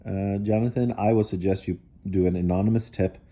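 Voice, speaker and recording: A man reading a children's storybook aloud in an animated style, breaking off for wry, casual asides. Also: fairly low pitch, fairly quick. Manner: wry, digressive commentary. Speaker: storybook reader.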